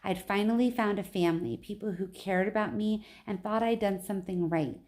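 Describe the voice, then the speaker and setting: A woman's voice: mid-range voice, fairly quick, darkish tone. A woman reading a children's novel aloud at home like a teacher, with informal asides to her listeners.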